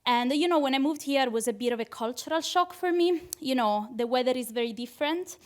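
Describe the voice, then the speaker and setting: A woman's voice: sits high, a little fast. A young woman with an Italian accent doing a nervous, chatty stand-up comedy set on a club stage, laughing at her own jokes. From Italy. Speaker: PhD student doing stand-up.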